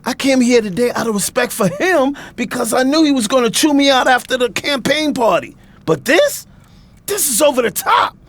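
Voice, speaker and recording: A man's voice: very high-pitched, animated, moderate pace, fairly bright tone, exaggerated voice. A man playing an angry, wounded grown son in an audio drama, sarcastic and slangy as he defies his family. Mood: indignant, angry.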